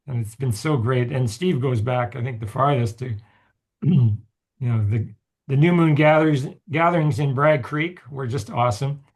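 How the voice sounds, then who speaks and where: Raspy, gravelly man hosting a video call. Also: mid-range voice, measured pace. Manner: rambling, with many restarts and chuckles. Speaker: host and mythologist. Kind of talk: casual talk on a video call.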